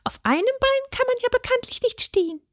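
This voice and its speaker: Stefanie, a shrill scolding elf. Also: female voice, animated, brisk, dry recording, studio quality. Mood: cheeky. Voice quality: high and scolding. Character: tiny indignant female elf dancer.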